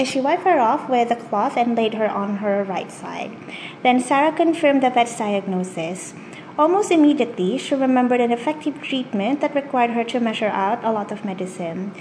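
A woman in her twenties with a Filipino accent, whose first language is Tagalog. She reads a story carefully, then talks about herself in measured speech with frequent "um" fillers. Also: fairly high pitch, darkish tone, slight background noise, poor audio. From Philippines. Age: 20s. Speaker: dialect archive speaker. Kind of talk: reading a passage, then casual self-description.

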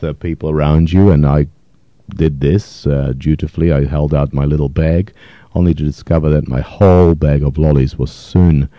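Very deep-voiced man in his fifties, from Poland. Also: mellow, no echo, lo-fi sound. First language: Yiddish. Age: 50s. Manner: thoughtful, hesitant, with frequent pauses and fillers. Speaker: interviewee. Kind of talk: reflective oral history interview.

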